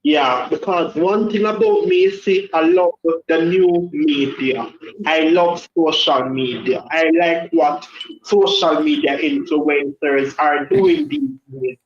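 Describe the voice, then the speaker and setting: A man's voice: fairly high pitch, very animated, slow. A man calling in to a live show, chatting casually and rambling with many hesitations. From Jamaica. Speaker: caller. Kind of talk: casual call-in chat. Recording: echoey muffled phone-in line.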